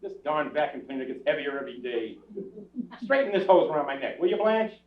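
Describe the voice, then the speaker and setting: A man's voice: a little high, sing-song, brisk, far from the mic. An actor playing a henpecked, wisecracking husband in his forties, sardonic and exasperated, in old-time radio comedy style. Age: middle-aged. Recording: dull, echoey old radio recording, off-mic.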